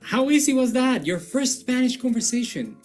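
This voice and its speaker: Lively playful woman hosting a lesson video. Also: sits high, unhurried, soft background music. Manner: playful, animated and jokey. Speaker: video host. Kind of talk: scripted instructional video.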